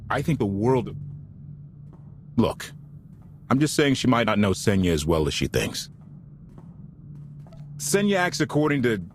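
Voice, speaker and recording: A man's voice: medium pitch, slight background noise. A man acting a scrappy, loyal starship pilot in a video game, informal, earnest and opinionated.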